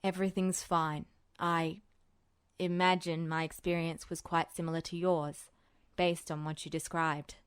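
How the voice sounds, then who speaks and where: A composed older man voiced by a woman reader in a story, set low. He speaks formally and with measured understatement, guarded yet caring. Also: level tone, smooth, dry recording, clean studio sound, close to an everyday voice.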